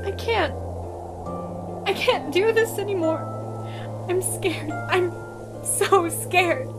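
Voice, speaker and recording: A woman's voice: very high voice, slow pace, music in the background. A woman playing a frightened, despairing young character in an audio drama, pleading and close to tears.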